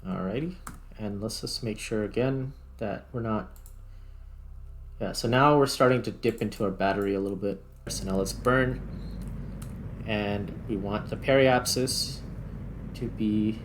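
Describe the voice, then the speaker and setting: A man's voice: lowish voice, unhurried, pauses often, noisy background. A man doing casual gameplay commentary, thinking aloud and talking through each step as he plays. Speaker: gaming video creator.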